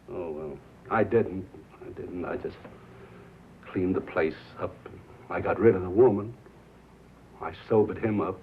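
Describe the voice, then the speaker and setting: An actor playing a mild, earnest small-town station owner, halting and formal, as if confessing, in a 1950s film drama. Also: male voice, deep voice. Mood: resigned. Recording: muffled, hissy 1950s film soundtrack.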